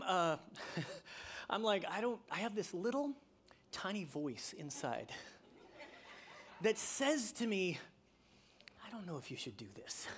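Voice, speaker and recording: A man preaching to his congregation as their pastor. He tells personal stories with real animation, his voice climbing high as the tension builds, then lands his points by repeating them with emphasis. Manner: animated storytelling, voice climbing high when excited; emphatic, repeating key phrases. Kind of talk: sermon.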